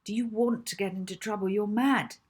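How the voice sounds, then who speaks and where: Woman voicing an exasperated schoolboy, slightly husky. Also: mid-range voice, steady tone, brisk, pitched above the performer's own voice, lightly acted. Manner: irritated, exasperated, urging. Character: earnest, exasperated schoolboy, coaxing his friend. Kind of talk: children's audiobook character voice.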